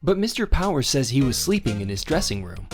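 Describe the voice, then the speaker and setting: An earnest, dry-witted young male lawyer , voiced by a woman, speaks low with lively intonation. It is game dialogue with clear music behind it. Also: a little fast. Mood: earnest, probing. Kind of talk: voiced dialogue for a video game fan dub.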